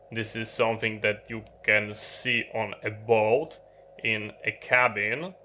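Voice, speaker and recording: A man who is not a native English speaker gives an unscripted product explanation, halting and often pausing mid-sentence. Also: lowish voice, bright, forceful tone, a little hiss or hum. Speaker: video presenter.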